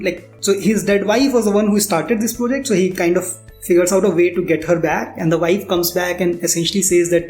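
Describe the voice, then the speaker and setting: A man's voice: high voice, a little mellow. A man with an Indian accent answering interview questions as a founder, candidly explaining and repeating phrases for emphasis. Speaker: startup founder being interviewed. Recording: roomy echo, music underneath.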